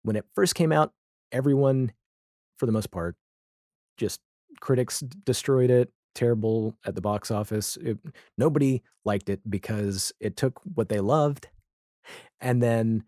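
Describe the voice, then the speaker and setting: A man's voice: medium pitch, measured pace, warm tone, no echo, clean studio sound. A man talking casually as a podcast guest, telling stories in an informal, opinionated way. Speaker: podcast guest, audio drama creator. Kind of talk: casual interview chat.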